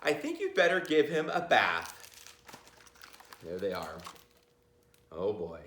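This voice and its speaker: A man's voice: lowish voice, big pitch swings, slow, sharp tone, reverberant, a little hiss or hum. A middle-aged American man reading a children's book aloud with chatty asides, playful and theatrical, drawing words out for suspense.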